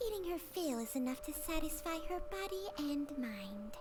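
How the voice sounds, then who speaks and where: A woman's voice: high voice, lively intonation, moderate pace, noisy background. A woman voicing a small, ancient female snake spirit in a video game, dry and teasing yet fond. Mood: wry, fond. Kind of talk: scripted video game dialogue.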